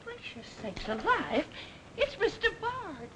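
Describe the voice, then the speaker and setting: An actress playing a startled, folksy flower seller who stammers in a 1940s film. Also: female voice, extremely high pitch, very animated, takes it slowly, sharp tone, heavily acted. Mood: startled, fearful. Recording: old hissy 1940s film soundtrack.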